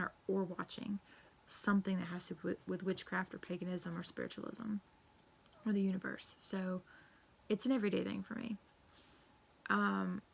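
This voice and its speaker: A woman losing her voice, croaky, chatting casually and rambling with lots of fillers and swearing. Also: mid-range voice. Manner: profane, rambling, frequent fillers. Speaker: witchcraft vlogger. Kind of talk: casual tag-video chat.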